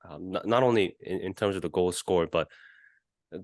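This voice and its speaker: A man co-hosting a casual football podcast, reasoning in a measured, halting way. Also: low-pitched, darkish tone, no echo, clean studio sound. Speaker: podcast co-host.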